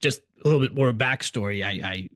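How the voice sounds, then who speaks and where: A man's voice: fairly low pitch, a little fast, fairly bright tone, no echo, clean studio sound. A middle-aged man who grew up in Hungary, hosting a podcast and chatting loosely, often restarting his sentences. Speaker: podcast host. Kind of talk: casual interview chat.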